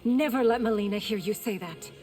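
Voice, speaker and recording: An actress playing a loyal, composed young princess in a video game, speaking with earnest poise. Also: female voice, medium pitch, fairly quick, warm tone, big-room sound, faint music behind, lightly acted. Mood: composed, dutiful. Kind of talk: dramatic video game dialogue.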